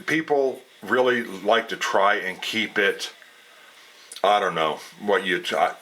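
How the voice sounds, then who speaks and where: Male hobbyist presenter: low, forceful voice, plainspoken and folksy, explaining off the cuff. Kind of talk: casual unscripted explainer.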